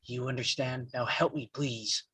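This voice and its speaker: A man's voice: mid-range voice, fairly even intonation, measured pace, pushed and bright, slightly rough, no echo, full character voice. An actor at a table read playing a resolute young man protecting his father, with a heavy stammer. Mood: urgent. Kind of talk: live table read of a film script.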